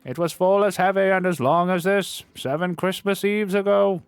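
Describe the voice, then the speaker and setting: A man voicing a mournful chained male ghost with high, moaning, drawn-out wails. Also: clean tone, dry recording, soft background music.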